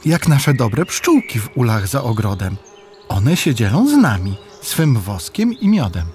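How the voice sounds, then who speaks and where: An actor playing a calm, devout village father in a children's radio play, with a measured, verse-like delivery. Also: medium pitch, slight background noise. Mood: warm.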